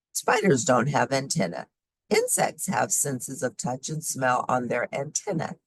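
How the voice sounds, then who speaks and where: Woman doing a kids science read-aloud, slow and husky, pitch moves around a lot. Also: no echo, rough recording. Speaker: host reading children's science books aloud. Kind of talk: read-aloud of a children's nonfiction book.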